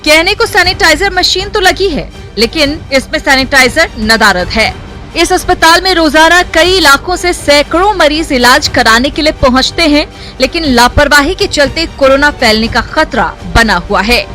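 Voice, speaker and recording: A woman's voice: sits high, animated, normal speed, bright, forceful tone, faint music behind. A woman reading scripted television news narration as a voice-over presenter.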